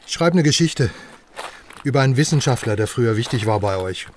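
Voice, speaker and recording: A man's voice: mid-range voice, expressive, a little fast, far from the mic, background noise, lightly acted. A man playing a pushy, self-assured journalist in a radio crime drama, talking at length in a persuasive, self-important way. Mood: eager, explaining. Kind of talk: naturalistic radio drama dialogue.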